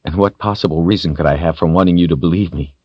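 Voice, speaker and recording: A man's voice: sits low, fast, breathy edge, no echo, highs cut off. A man voicing a defiant, stubborn captive hero in an audiobook, speaking bluntly and with tension. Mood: challenging. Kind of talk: audiobook character voice.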